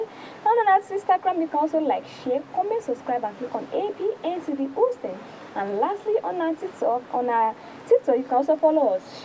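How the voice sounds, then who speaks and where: A Nigerian woman presents a newspaper review in a high, mellow voice. Her formal reading swings up and down in pitch and sometimes stumbles, with a faint rumble of noise behind it. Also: low-quality recording. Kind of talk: news reading and paper review.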